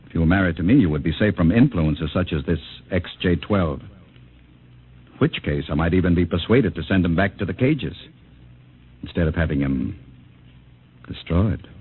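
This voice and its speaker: An actor playing a cold, scheming government official in an old-time radio drama, speaking in a formal, measured, officious way. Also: male voice, sits low, lively intonation, husky, exaggerated voice. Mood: coaxing. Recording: muffled, narrow-band vintage radio recording.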